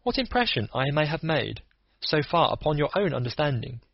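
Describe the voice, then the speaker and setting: A man's voice: fairly high pitch, very animated, brisk, creaky, no echo, lo-fi sound, heavily acted. A man reading the lines of a cool, analytical male detective who explains his reasoning point by point. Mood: lecturing. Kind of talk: audiobook character reading.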